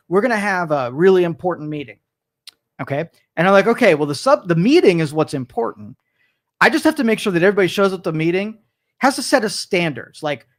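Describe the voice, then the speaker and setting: A high-pitched man talks through his political views on a studio talk show. He rambles and argues, restarts his sentences and acts out mock quotes.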